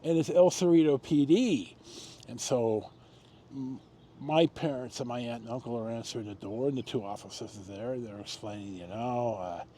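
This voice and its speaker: An American man hosting a storytime, telling personal stories in a casual, rambling, halting way, reminiscing and correcting himself as he goes. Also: mid-range voice, mellow, a little hiss or hum. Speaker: host of a personal storytime show.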